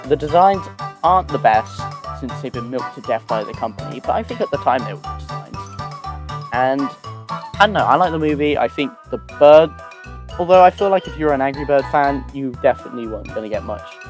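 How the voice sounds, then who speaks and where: A man hosting a gaming video, giving casual, semi-improvised list commentary in a halting way, often trailing off and repeating words. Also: mid-range voice, expressive, music in the background. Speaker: host of a gaming video channel.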